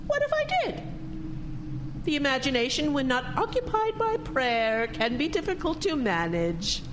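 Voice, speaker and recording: A woman's voice: high voice, big pitch swings, moderate pace, background noise. A woman playing a prim, pious character in an audio drama, stern, flustered and easily indignant, denying her fear. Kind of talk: scripted audio drama acting.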